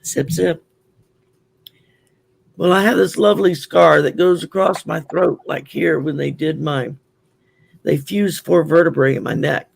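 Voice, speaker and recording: A man with a Southern US accent and a growly, gravelly voice, chatting openly as a guest on a call. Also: a little high, measured pace, pauses often, no echo. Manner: chatty, open, storytelling. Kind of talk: casual chat.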